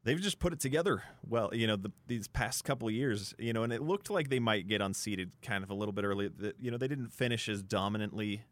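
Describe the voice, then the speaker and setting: A man with a fairly low voice talks sports casually as a radio co-host. He thinks aloud a little hesitantly, recorded dry and close. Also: fast, few pauses, dry recording.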